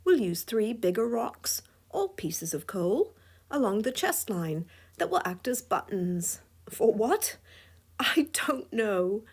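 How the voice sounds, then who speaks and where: A woman's voice: mid-range voice, big pitch swings, slow pace, slightly rough. A woman with a scratchy voice giving a drawing tutorial voice-over, playful and chuckling often. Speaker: drawing instructor.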